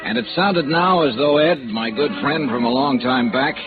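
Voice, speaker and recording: A man's voice: mid-range voice, normal speed, natural voice. A man playing a wry, tough American insurance investigator in 1950s radio noir, speaking in a clipped, emphatic manner. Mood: brisk, businesslike. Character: wry, tough freelance insurance investigator, loyal to his friends. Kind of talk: 1950s radio noir acting, with first-person case narration. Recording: narrow, tinny old radio recording with music.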